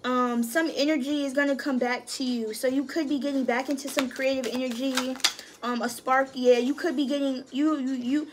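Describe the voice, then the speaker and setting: High-voiced, cussing woman tarot reader. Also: fairly even intonation, moderate pace, background noise. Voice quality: high-pitched voice. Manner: profane, slangy and emphatic. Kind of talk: casual tarot reading.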